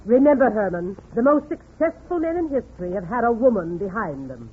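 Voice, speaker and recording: A noisy, thin old radio recording of a domineering wife. She corrects and nags in a high, swooping voice, sure that she knows best, in 1940s comedy style. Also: sing-song, brisk. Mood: self-important. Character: bossy, nagging wife who always knows best. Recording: muffled, noisy 1940s radio, audience behind.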